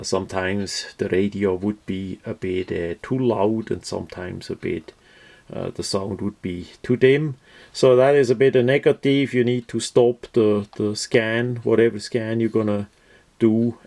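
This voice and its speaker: Slow, gravelly-voiced man, non-native English speaker. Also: fairly low pitch, mellow. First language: not English. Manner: hesitant, halting, matter-of-fact delivery with many pauses. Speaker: product reviewer. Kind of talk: casual unscripted product overview.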